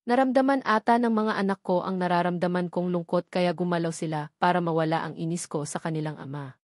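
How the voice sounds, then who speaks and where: A woman's voice: lowish voice, a little fast, a little mellow, dry recording, clean studio sound. A woman reading a first-person romance novel as a scripted story narrator. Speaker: audiobook narrator.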